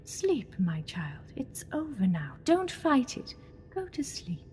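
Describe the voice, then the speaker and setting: A woman's voice: a little high, huge pitch swings, slow, darkish tone, a little hiss or hum, exaggerated voice. A woman playing a sinister alien posing as a goddess, coaxing and theatrical, seductive and mocking, in an audio drama. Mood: coaxing, hushed. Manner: coaxing, theatrical, purring endearments.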